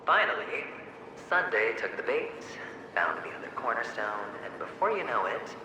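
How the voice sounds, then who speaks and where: A man's voice: medium pitch, big pitch swings, normal speed. An actor playing the mocking inner double of a young man, taunting and slipping into hissed whispers, his voice echoing and distant. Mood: scheming. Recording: heavy echo, distant, game ambience noise.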